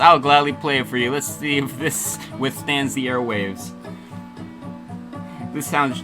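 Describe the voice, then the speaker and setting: A man hosting a casual livestream radio show as DJ, playful and rambling, with emphatic announcing. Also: medium pitch.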